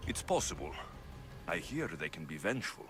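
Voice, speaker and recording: An actor playing a bold, wry young mercenary in a video game cutscene. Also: male voice, very deep voice, big pitch swings, normal speed, music in the background. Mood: dry, wry.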